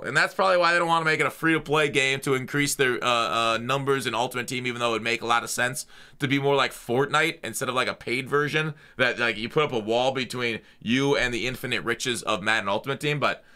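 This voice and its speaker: A man's voice: mid-range voice, gravelly, pushed and bright. A man hosting a gaming channel, talking through the news in a casual, off-the-cuff way with blunt, slangy opinions.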